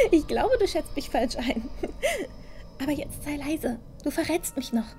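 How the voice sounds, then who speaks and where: A woman's voice: sits high, animated, takes it slowly, mellow, slight background noise, exaggerated voice. A woman playing a curious, adventurous young village girl in a fantasy audio drama, often muttering to herself. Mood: startled, amused.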